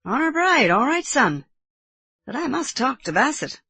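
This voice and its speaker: A woman's voice: sits high, big pitch swings, measured pace, gravelly, sharp tone, slightly rough, no echo, voice pushed up, heavily acted. A woman voicing a jovial, exclamatory English uncle who is amused and teasing, in a storybook reading. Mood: hearty, brisk.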